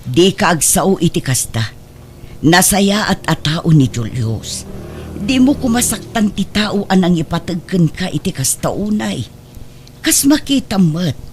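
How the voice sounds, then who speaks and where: A woman's voice: fairly low pitch, slight background noise. A woman acting an exasperated but fond older mother in an audio drama, with an Ilocano Filipino accent.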